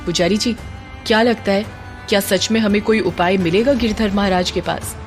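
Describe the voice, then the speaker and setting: A woman's voice: mid-range voice, steady tone, moderate pace, faint music behind, close to an everyday voice. A woman voicing a courteous, eager young man with questions in an audio drama. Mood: polite, eager. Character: polite, eager young village man on a search.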